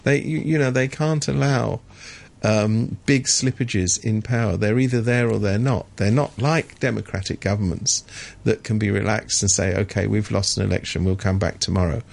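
A man's voice: fairly low pitch, steady tone, normal speed, creaky, darkish tone. A man talking as a regular guest on a radio show, indignant and sardonic, often restarting his sentences. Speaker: regular commentator. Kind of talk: radio studio discussion.

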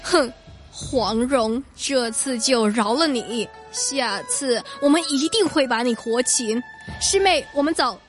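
A woman's voice: high voice, slow pace, soft background music. A woman acting a domineering senior henchwoman in a martial arts radio drama, haughty and taunting. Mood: grudging.

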